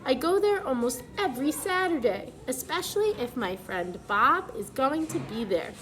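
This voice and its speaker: A woman preschool teacher reading a picture book aloud to young children, pausing to ask them questions in an instructive way. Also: sits high, sing-song, fairly bright tone, a little hiss or hum, rough recording.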